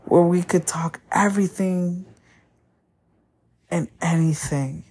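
A woman whose first language is Spanish, hosting a podcast and talking candidly about a breakup, often pausing to find her words. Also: on the low side, a little mellow, slightly rough. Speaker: podcast host. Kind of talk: personal monologue.

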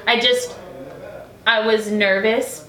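A chatty young woman tells her story in a relaxed interview, dropping "like" and quick acted-out quotes along the way. Her voice sits at a middle pitch. The room around her gives a clear echo. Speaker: singer being interviewed. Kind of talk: casual interview chat.

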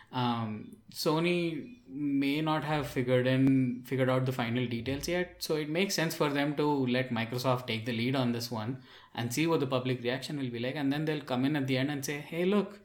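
A man co-hosting a casual tech discussion, reasoning things through as he argues his point. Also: mid-range voice. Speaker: podcast co-host. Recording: roomy, echoing sound.

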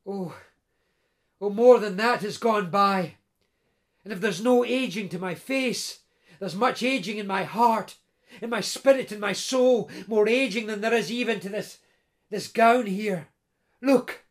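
A storyteller voicing a sorrowful young bride trapped in a fairy underworld, speaking softly and hesitantly with repeated words. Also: medium pitch, a little slow, long pauses, lightly acted.

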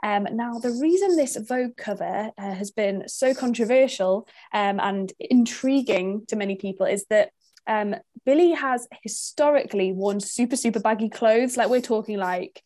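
A woman's voice: on the high side, normal speed, a little mellow, no echo. A young woman with a mixed northern English accent, co-hosting a podcast and explaining topics in casual chat with many fillers. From northern England. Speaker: podcast co-host.

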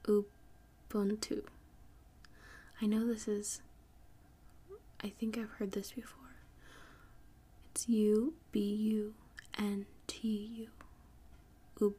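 A woman podcast host whispering softly in an ASMR style, breathy and unhurried, reading out and repeating words. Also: medium pitch, little pitch movement. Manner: soft, unhurried, repeats and spells words slowly.

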